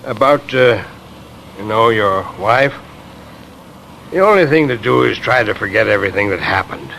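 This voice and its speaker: An actor playing a gruff but kindly police officer, hesitant and consoling, in 1950s radio drama style. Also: male voice, on the low side, animated, moderate pace, bright, forceful tone, slight background noise, heavily acted, low-quality recording. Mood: awkward sympathy. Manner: hesitant, plain-spoken, consoling.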